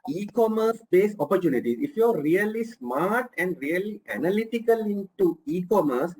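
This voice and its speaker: High-pitched man from Sri Lanka, rambling panelist full of fillers. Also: expressive, moderate pace, a little mellow, poor audio. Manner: repeats "you know" constantly. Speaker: panelist, consumer goods executive. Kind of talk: panel discussion answer.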